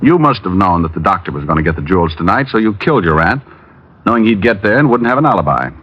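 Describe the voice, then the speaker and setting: An actor playing a private detective who trades dry wisecracks, in a 1950s radio drama. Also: male voice, low-pitched, big pitch swings, quick pace, slightly rough, heavily acted. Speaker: lead detective and narrator. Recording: dull old radio recording with music.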